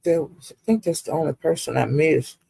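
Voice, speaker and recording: A woman's voice: sits low, a little fast, vocal fry, warm tone, husky, no room sound, poor audio. An older woman, chatting casually to live viewers as a livestream host in a folksy, rambling, salty-tongued way.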